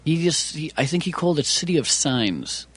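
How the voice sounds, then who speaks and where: A man in a deadpan comedy chat, answering absurd questions in a dry, matter-of-fact way. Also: fairly high pitch, moderate pace, slightly rough. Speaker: comedy co-host.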